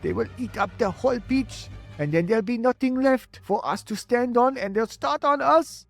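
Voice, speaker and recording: A storybook reader voicing a frightened, proud female spider with high, anxious cries. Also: moderate pace, vocal fry, faint music behind, lightly acted. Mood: panicked. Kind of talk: audiobook character voice.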